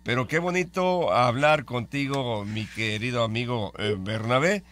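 A man's voice: medium pitch, a little slow, a bit bright. A man hosting a radio interview, joking and bantering in slang. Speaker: radio host.

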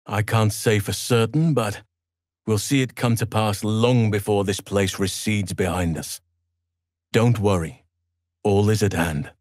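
An actor playing a solemn, gentle male druid of nature in a video game, speaking in a measured, earnest, formal way. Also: lowish voice, no room sound, clean studio sound, close to an everyday voice. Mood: grave, earnest. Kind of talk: dramatic video game dialogue.

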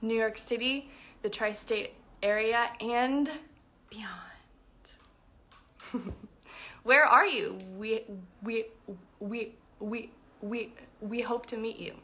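Brief young woman, stutters, bright tone, unhurried, earnest livestream talk on phone at arm's length. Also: on the high side. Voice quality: stutters with sound repetitions. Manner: earnest, affectionate, slow-paced. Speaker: program director. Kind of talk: casual livestream announcement. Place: indoors at home, small room. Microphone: phone camera at arm's length.

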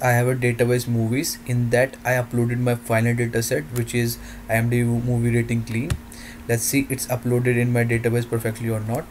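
A man narrating a software demo in halting, non-native English phrasing. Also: medium pitch, slight background noise.

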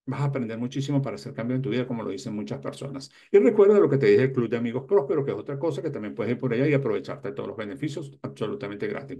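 A man's voice: medium pitch, warm tone. A man in his sixties giving motivational advice to camera as a self-help host, emphatic and repeating key words. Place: small indoor room lined with bookshelves.

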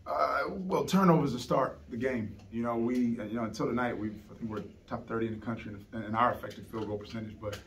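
A man's voice: medium pitch, fairly quick, few pauses, a bit bright, a little hiss or hum. A middle-aged man, a basketball coach, answering postgame questions earnestly at a press conference, often repeating words as he restarts. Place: press conference room, seated at a table. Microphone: table microphone on a stand. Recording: heard from some distance.

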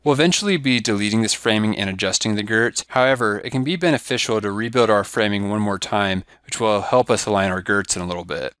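Low-voiced man explaining software steps. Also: fast talker, few pauses, dry recording. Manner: steady step-by-step instruction. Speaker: software trainer. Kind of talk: screen-recorded software tutorial.

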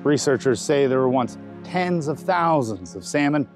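A man's voice: medium pitch, animated, normal speed, loud music behind the voice. A male reporter narrating a news story in a scripted tone.

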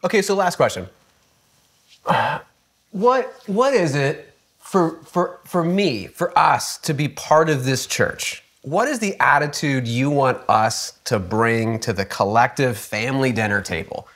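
Man, interviewer, playful and teasing, lively intonation, casual questions. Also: medium pitch, a little slow.

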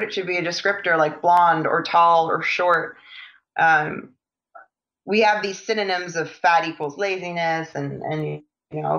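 Female dancer guest, lowish voice, matter-of-fact and earnest, slightly dull audio. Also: a bit bright. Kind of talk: casual interview chat.

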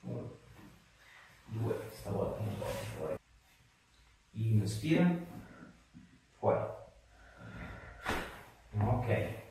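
Guy osteopath, lowish voice, calmly coaching a patient, really echoey small room. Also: extremely slow, gravelly, pauses often. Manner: reassuring, encouraging. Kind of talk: casual instructions to a patient during treatment. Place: small treatment room, indoors. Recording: echoey room, distant mic, faint noise.

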